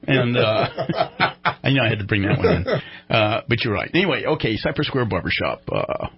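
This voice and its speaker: Low, husky male radio host. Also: sing-song, moderate pace, lo-fi sound. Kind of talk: casual radio interview.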